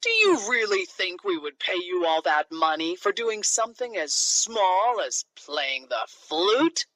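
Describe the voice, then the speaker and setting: Woman voicing pompous, greedy town leaders, slow delivery. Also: lowish voice, big pitch swings, a bit bright, no room sound, low-quality recording. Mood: scornful. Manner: pompous, declaiming. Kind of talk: children's storybook character voice.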